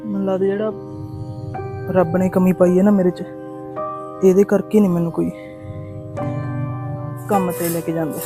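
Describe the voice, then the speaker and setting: An actor playing a jobless young son who talks in a low, quiet, subdued way. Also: male voice, little pitch movement, normal speed, long pauses, music in the background. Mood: dejected. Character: jobless young man, dejected and ashamed. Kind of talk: short-film drama acting.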